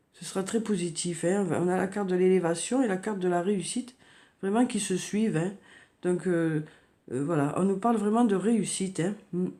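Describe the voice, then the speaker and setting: A woman with a cold giving a tarot reading, talking softly and hesitantly with many fillers and repeated words. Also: medium pitch. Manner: soft, hesitant, frequent "hein" and "euh", repeats words. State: has a cold, coughing. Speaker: tarot card reader. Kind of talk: monthly horoscope card reading.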